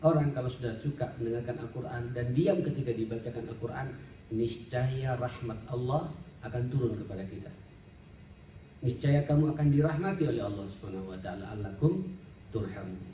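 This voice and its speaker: A male Islamic preacher, heard in an echoey room at a distance from the mic. His voice is on the mellow side, and he teaches in a conversational sermon style, addressing listeners directly. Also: mid-range voice, fairly quick, lo-fi sound. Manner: conversational and didactic, often addresses listeners directly, rhetorical questions. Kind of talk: sermon, study-circle lecture with Arabic recitation. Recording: roomy, distant mic, faint background noise.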